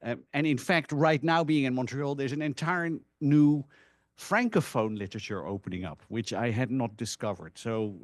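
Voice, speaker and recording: A man who is a non-native English speaker, giving an informal academic presentation in an animated storytelling manner with rhetorical asides. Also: medium pitch, no room sound. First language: not English (English is a third language).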